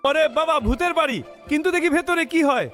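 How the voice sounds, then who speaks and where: Guy putting on a really high excitable village hunchback for a storybook ghost tale, eager, bit of music under it. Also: quick pace. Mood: eager, curious.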